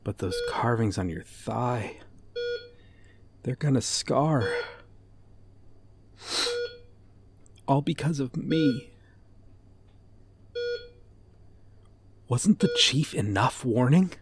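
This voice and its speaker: A man playing a devoted, guilt-ridden detective in a dramatic audio roleplay, speaking in an earnest, brooding, halting way. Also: mid-range voice, lively intonation. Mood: worried, guilty. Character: guilt-ridden, devoted male detective hunting a serial killer.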